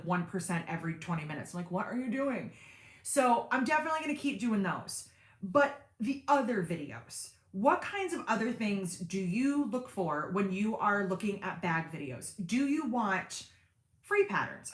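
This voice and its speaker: A woman hosting a casual sewing livestream, reading viewer comments and chatting with lots of fillers and self-deprecating asides, with a fairly deep everyday voice. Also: lively intonation, normal speed, roomy sound. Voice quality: deep speaking voice in everyday talk. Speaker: sewing channel host on a livestream.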